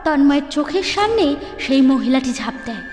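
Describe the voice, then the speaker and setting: A woman storyteller narrating a ghost story dramatically, with deliberate, suspenseful phrasing and pauses. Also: sits high, expressive, a little mellow, a little hiss or hum. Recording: echoey long reverb, music underneath.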